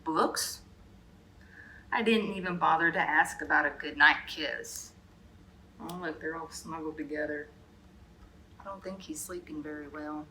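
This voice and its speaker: Bright-voiced woman reading a children's story. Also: low-pitched, moderate pace, a little hiss or hum, rough recording. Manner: expressive, with brief asides to young listeners. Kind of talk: animated picture-book read-aloud.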